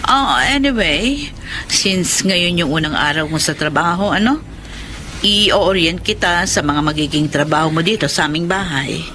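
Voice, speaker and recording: A woman's voice: on the low side, unhurried, pushed and bright. A woman with a Filipino accent playing a polite, businesslike, middle-aged lady of the house in a radio drama. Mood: brisk, polite. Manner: businesslike, chatty, Taglish-speaking employer. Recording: faintly noisy radio drama recording.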